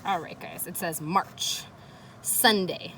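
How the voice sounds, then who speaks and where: A woman's voice: on the low side, takes it slowly, a bit bright. A woman teacher reading a children's chapter book aloud with casual, encouraging asides and questions to her listeners, with background noise. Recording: noisy background, kids playing nearby. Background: ambient noise of children playing.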